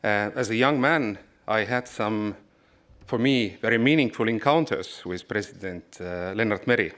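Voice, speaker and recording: A man in his forties from Estonia giving a formal lecture, speaking in a measured way with some halting restarts. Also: on the low side, fairly bright tone. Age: 40s. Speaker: guest lecturer.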